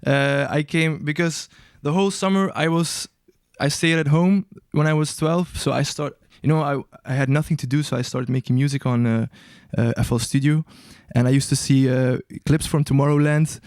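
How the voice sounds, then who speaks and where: Smooth-voiced young man, hesitant and searching. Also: on the high side, steady tone, moderate pace, darkish tone, dry recording, studio quality. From Albania. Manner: hesitant, searching for words, many restarts. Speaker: guest musician. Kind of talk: casual interview answers.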